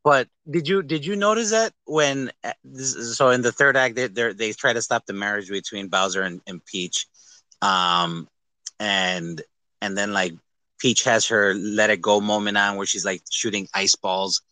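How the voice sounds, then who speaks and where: Bright-voiced man chatting casually about movies. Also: fairly low pitch, a little slow, dry recording. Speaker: podcast co-host.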